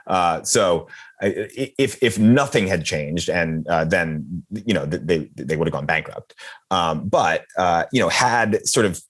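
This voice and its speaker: A man's voice: low-pitched, a little slow, a bit bright, slightly rough. A man being interviewed as a reporter and author, explaining at length with frequent hesitations and restarts. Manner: hesitant, many fillers and restarts, chuckling. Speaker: journalist guest.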